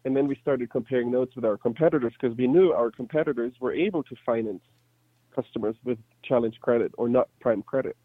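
A man in his thirties with a light German accent chats as an interview guest, telling stories in a casual, conversational way. His medium-pitched voice comes through narrow and phone-like, with a dry sound and no room echo. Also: brisk, husky. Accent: slight German. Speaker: interview guest, startup entrepreneur.

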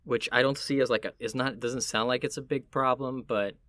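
A man from Miami with a Puerto Rican family, talking casually and reflectively, often halting as he searches for words. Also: mid-range voice, fairly even intonation, sharp tone.

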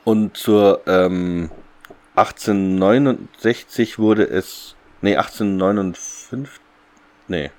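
A man's voice: low-pitched, takes it slowly. A man co-hosting a podcast, chatting casually and bluntly about an audio drama in informal language. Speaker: podcast co-host.

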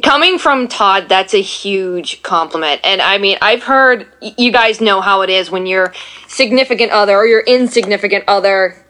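Chatty woman with a deep voice. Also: normal speed, sharp tone. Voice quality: deeper voice for a woman. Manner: chatty, self-deprecating, talks at length. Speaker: guest. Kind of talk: casual chat.

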